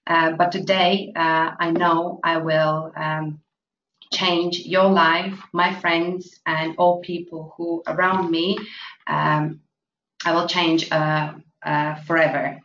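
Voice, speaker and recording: A woman from Latvia presenting an investment opportunity, speaking halting full of fillers. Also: sits low, flat delivery, fairly bright tone. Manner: halting, many fillers, non-native grammar. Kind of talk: promotional presentation. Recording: echoey, distant, dull lo-fi with faint noise.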